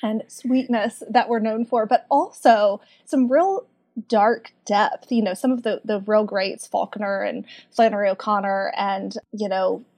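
A woman's voice: mid-range voice, fairly even intonation, a little slow, a little mellow. A woman with a Southern US accent, chatting as a podcast guest and laughing often. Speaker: podcast guest, book club community manager. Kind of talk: casual chat.

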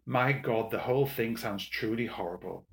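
A man plays an arrogant young English gentleman from a storybook. He is theatrically scornful and full of mischief, leaning on his words in a slow, mocking drawl. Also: medium pitch, gravelly, roomy sound, lightly acted. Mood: mock-sympathetic, scornful. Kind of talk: audiobook character dialogue.

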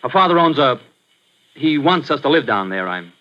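An actor playing a young boxer, earnest and blunt, who turns hard and angry, in a 1940s radio drama. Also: male voice, mid-range voice, big pitch swings, moderate pace, natural voice. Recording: muffled old radio recording.

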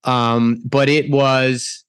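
A man hosting a casual podcast interview, talking in halting bursts and restarting phrases as he thinks aloud. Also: medium pitch, flat delivery, fairly bright tone, clean tone, dry recording, clean studio sound. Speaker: podcast host.

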